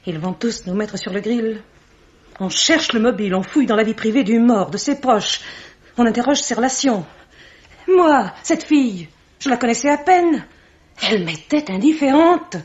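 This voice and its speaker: Actress as bitter suspect woman, distraught, emphatic, higher voice, dull vintage radio drama. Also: expressive, moderate pace, natural voice. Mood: shocked, defensive. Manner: melodramatic, emphatic. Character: distraught, defensive, bitter woman bookseller under suspicion. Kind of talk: vintage French radio mystery acting.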